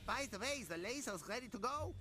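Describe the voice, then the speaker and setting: A woman's voice: on the high side, lively intonation, brisk, over music, lightly acted. A woman playing a blasé, matter-of-fact scientist in a video game cutscene. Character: blasé, kidnap-weary scientist.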